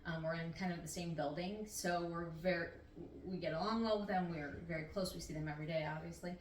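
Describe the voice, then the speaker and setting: A woman's voice: fairly low pitch, fairly even intonation, quick pace. A middle-aged woman, a nurse practitioner, answering interview questions in an easygoing, talkative, slightly meandering way. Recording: roomy, distant mic, faint noise.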